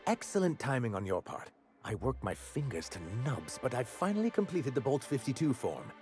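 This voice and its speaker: An earnest, bookish idealist for a video game. His middle-pitched voice swings widely as he talks in formal, self-important phrases over faint music. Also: wildly swooping pitch, normal speed, a little mellow. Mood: proud.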